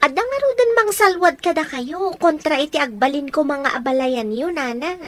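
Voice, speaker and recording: A gentle young woman of Ilocano radio drama, recorded dry. Her high voice has an Ilocano accent and a respectful, deferential manner. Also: animated, moderate pace, no room sound. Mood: earnest, respectful.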